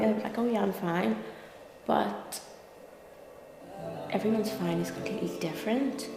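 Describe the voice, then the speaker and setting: A young woman with a Belfast Northern Irish accent talks candidly in an interview, conversational and peppered with "like" and "you know". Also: on the low side. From Belfast, Northern Ireland. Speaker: interview guest, lawyer and content creator. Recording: echoey reverberant room, clear music behind. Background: music.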